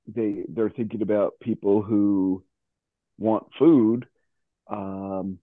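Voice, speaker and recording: A man's voice: fairly low pitch, steady tone, slow pace, dry recording. A man chatting casually in a conversational, chuckling manner, like a radio show host. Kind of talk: casual chat. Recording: narrow phone-like radio line.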